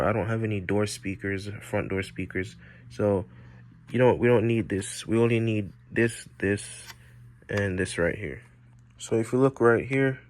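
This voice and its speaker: Low-voiced man giving a halting tutorial. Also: darkish tone. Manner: halting, step-by-step explaining. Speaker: presenter of a how-to repair video. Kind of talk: informal hands-on tutorial, unscripted.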